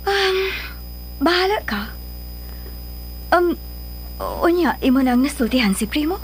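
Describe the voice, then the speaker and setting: A woman's voice: high voice, big pitch swings, measured pace, a little hiss or hum, low-quality recording. An actress playing a doting but guarded mother of a grown daughter in a radio drama, with a Filipino accent. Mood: uneasy, guarded. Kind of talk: Philippine radio drama acting.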